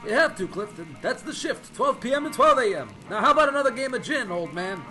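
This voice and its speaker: A man's voice: very high-pitched, sing-song, fast talker, pushed and bright, exaggerated voice. An actor playing a bossy, slangy airfield controlman in an old time radio drama. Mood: brash, teasing. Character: brash, dutiful airfield controlman, bossy with his partner. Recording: music bed behind the dialogue.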